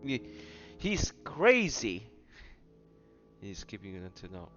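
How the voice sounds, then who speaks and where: A man giving casual, halting, amused commentary over gameplay. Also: lowish voice, wildly swooping pitch, fairly bright tone, muffled recording, faint music behind.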